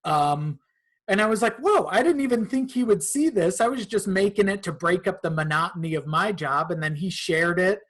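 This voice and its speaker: A man's voice: sits high, expressive, moderate pace, a bit bright. A man talking casually as a podcast guest, in an informal and slightly hesitant way. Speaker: podcast guest, content creator.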